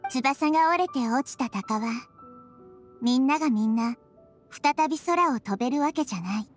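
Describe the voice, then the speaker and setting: A tiny, wise goddess from an anime game speaks in a high, soft, girlish voice. She explains gently and earnestly, with selfless composure. Music plays lightly behind her. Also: expressive, moderate pace. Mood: gentle, solemn. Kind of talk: Japanese anime game voice acting. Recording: studio voice over background music.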